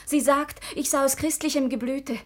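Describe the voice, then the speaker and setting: A passionate young daughter played by a woman in a classical radio drama. Her voice is very high and pleading, full of innocent devotion and theatrical fervour. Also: fairly even intonation, normal speed, lightly acted. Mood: despairing. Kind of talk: classical German radio play acting.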